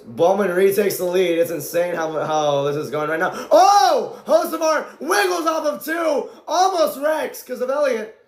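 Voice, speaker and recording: Excitable amateur male race caller, high voice, hoarse from shouting, echoey room. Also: measured pace, hardly any pauses. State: voice going hoarse from strain. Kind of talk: excited live play-by-play commentary.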